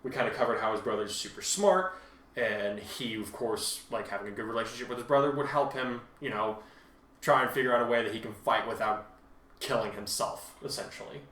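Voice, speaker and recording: A man with a middling pitch talks casually as a host and author. He rambles and backtracks haltingly, in a noticeably echoey room. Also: steady tone, a bit bright, far from the mic. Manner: halting, rambling, repeats himself. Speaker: podcast host and fan fiction author. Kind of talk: casual chat about own writing. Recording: roomy, echoing home recording.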